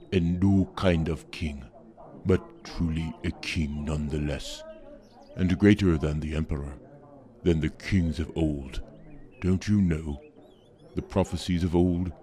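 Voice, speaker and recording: A man's voice: extremely low pitch, warm tone. A man playing an earnest, devout attendant of the Magi who stammers a little and speaks courteously. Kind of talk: audio drama acting.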